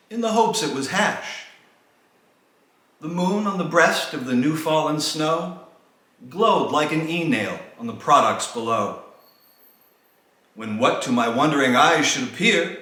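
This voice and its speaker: Echoey, animated man reciting rhymed verse. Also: fairly high pitch. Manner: dramatic, sing-song rhymed delivery. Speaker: storyteller reading his own poem. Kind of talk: theatrical rhymed holiday poem recital. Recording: very echoey, reverberant room sound.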